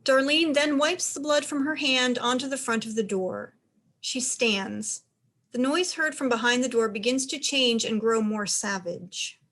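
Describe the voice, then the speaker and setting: A woman's voice: on the high side, unhurried, fairly bright tone. A woman reading stage directions as narrator for a dramatized script reading, in scripted narration.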